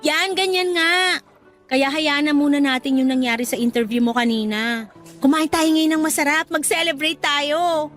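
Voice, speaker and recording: A woman acting as an affectionate, encouraging young girlfriend in a radio drama, speaking in a coaxing, animated way. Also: high-pitched, normal speed, soft background music. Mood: upbeat, coaxing. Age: young adult. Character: supportive, upbeat young girlfriend cheering on her partner. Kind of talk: Tagalog radio drama dialogue.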